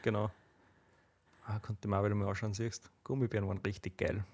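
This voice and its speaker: A young man co-hosting a casual podcast, relaxed and slangy, often agreeing. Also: lowish voice, brisk. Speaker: podcast co-host. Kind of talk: casual chat.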